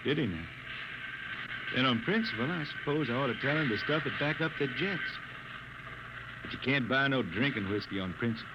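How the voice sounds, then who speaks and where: A blind drifter spaceman from the Ozark hills, weary and wistful, talks in a slow folksy rural drawl, as a man plays him in an old radio drama. His voice is muffled like a vintage broadcast, with a steady hiss behind it. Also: mid-range voice, close to an everyday voice. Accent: Ozarks rural American. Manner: weary, wistful, quietly stubborn. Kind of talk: 1950s radio drama acting.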